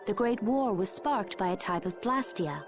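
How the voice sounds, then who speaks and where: An actress playing a composed, knowledgeable woman in a video game, explaining grave history in a measured, technical way. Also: mid-range voice, lively intonation, music in the background, lightly acted. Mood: calm, explanatory. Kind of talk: scripted video game acting.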